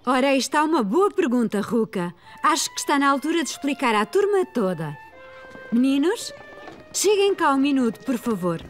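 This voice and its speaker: A woman's voice: high voice, big pitch swings, moderate pace, faint music behind. A woman voicing a kind, patient preschool teacher in a children's cartoon dub, with a European Portuguese accent. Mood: explaining.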